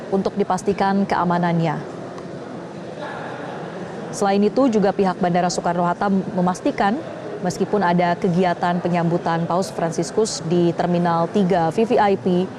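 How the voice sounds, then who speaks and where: A woman with an Indonesian accent anchoring live breaking news in a formal, measured way. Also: on the low side, background noise.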